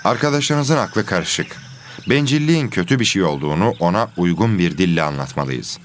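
A man playing a patient, pious teacher in a children's cartoon, measured and instructive. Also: deep voice, animated, slight background noise. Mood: calm, instructive. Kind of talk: children's educational cartoon acting.

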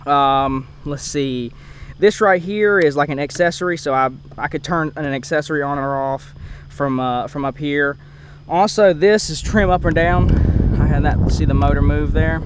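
A man's voice: fairly high pitch, a little hiss or hum. A man hosting a casual, unscripted video tour, talking into a head-worn action camera outdoors. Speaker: host of a fishing boat tour video. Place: outdoors in a parking lot beside a lake.

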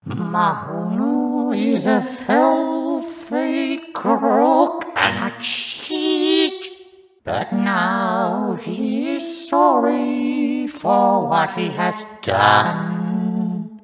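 Shrill, horrible little imps gloat in a very slow, taunting sing-song for a spooky folktale. The voices ring with long reverb over loud music. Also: male voice, expressive, exaggerated voice. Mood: gleeful taunting. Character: gleeful, mocking gremlin-like border guardian imps. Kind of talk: audio drama folktale.

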